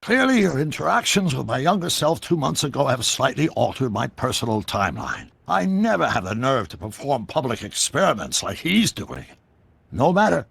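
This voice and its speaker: An actor playing an eccentric older American scientist in a video game, explaining things in a wordy, theatrical way with a gravelly voice. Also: male voice, medium pitch, huge pitch swings, normal speed, fairly bright tone, no echo, heavily acted. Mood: animated explaining. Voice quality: gravelly, husky. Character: eccentric older male scientist inventor, excitable and secretive. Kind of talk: scripted video game dialogue.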